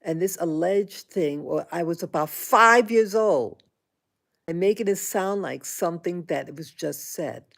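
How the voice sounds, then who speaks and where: A woman in her sixties talking into her own vlog, halting and indignant, with frequent restarts and fillers. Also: deep voice, gravelly. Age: 60s. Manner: aggrieved, indignant. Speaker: vlogger. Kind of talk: personal monologue vlog.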